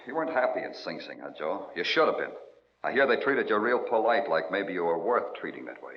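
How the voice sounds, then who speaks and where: An actor in an old radio crime drama playing a brooding, weary police detective who talks tersely and narrates in moody prose. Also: male voice, low-pitched, sing-song, brisk, a little hiss or hum. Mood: dry, wary. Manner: terse, world-weary, poetic. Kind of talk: noir radio narration and dialogue. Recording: muffled old radio recording, music behind.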